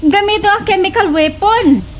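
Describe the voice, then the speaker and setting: A woman's voice: very high voice, normal speed, a little hiss or hum. A woman playing a sassy know-it-all daughter in a slapstick radio comedy, mock-formal and preachy, with a Waray accent. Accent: Waray, Eastern Visayas Philippines. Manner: mock-formal, mixing in English.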